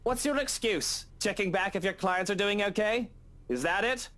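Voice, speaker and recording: An actor playing a mocking, arrogant, wealthy master of the house with a theatrical sarcastic drawl in a visual novel. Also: male voice, high-pitched, moderate pace, a bit bright. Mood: scornful.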